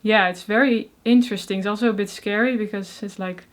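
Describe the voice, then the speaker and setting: A young woman with a Dutch accent speaks as an interview guest, stuttering and breaking off on first sounds. She is soft-spoken and uses many fillers. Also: medium pitch, fairly even intonation, fairly quick. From Netherlands. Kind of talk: casual interview conversation.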